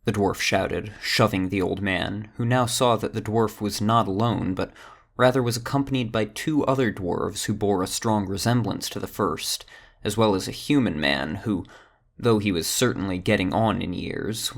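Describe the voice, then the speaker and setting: Deep gravelly guy narrating a fantasy audio drama, steady tone. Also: moderate pace. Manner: steady storytelling, does all character voices.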